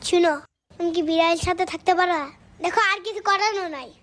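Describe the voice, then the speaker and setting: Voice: extremely high pitch, fairly even intonation, fast, a bit bright, soft background music. A performer voicing a small, bossy yet caring cartoon child with a Bangladeshi Bengali accent, full of nagging questions. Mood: chatty, nagging. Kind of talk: animated cartoon dialogue.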